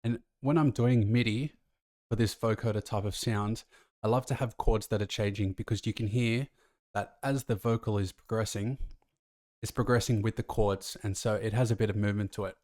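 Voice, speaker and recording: Low-voiced man giving a casual tutorial. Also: fairly even intonation, normal speed, a little mellow, dry recording, studio quality. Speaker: music production tutor. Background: faint music from the track.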